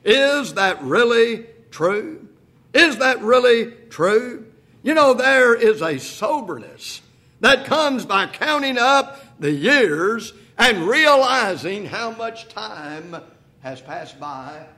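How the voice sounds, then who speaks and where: A man in his sixties preaching a sermon, asking rhetorical questions and slowing to a quiet, deliberate pace for emphasis. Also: high-pitched, big pitch swings. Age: 60s. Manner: repeats "if you please" and "think about this".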